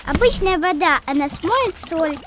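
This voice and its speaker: A little cartoon pupil with an extremely high, swinging voice. The small character corrects and explains patiently, in simple, emphatic phrases made for young children. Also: female voice, lively intonation, unhurried, background noise. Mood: helpful, explaining. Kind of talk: children's educational cartoon acting.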